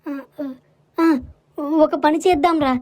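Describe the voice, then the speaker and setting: A woman voicing a poor, caring, anxious village mother in an animated moral story, with an entreating way of talking. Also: sits high, takes it slowly, background noise. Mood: consoling.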